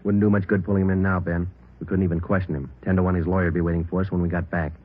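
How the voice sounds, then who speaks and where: An actor playing a terse police detective, deadpan and matter-of-fact, in a vintage radio crime drama. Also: male voice, low voice, rapid-fire, slight background noise, close to an everyday voice. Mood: businesslike. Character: terse, businesslike male police detective narrating his case. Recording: narrow-band vintage radio recording.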